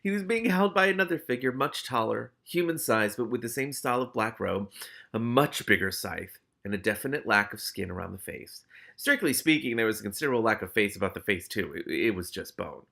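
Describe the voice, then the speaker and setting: A man reading a story aloud as host, excitable and expressive, with casual, sweary reactions of his own. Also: fairly low pitch, a little fast, vocal fry. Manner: excitable, animated.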